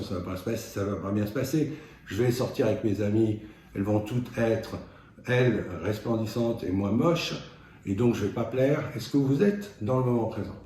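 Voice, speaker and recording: A man giving an explanatory self-help talk as a doctor, in a measured, didactic and halting way with pauses. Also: on the low side, warm tone. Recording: very echoey room, faint noise.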